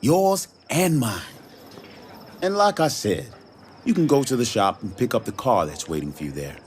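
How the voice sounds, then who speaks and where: A man's voice: mid-range voice, sing-song, normal speed, slight background noise, natural voice. Actor as a cocky, swooping off-road racing mentor guy. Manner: swaggering, informal, teasing. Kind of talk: video game cutscene acting.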